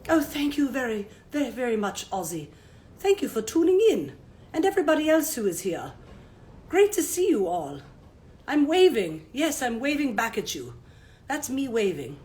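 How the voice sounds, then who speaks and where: A woman voicing a groggy male owl puppet with a croaky voice and drowsy, old-fashioned politeness. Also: on the high side, big pitch swings, moderate pace, a little mellow.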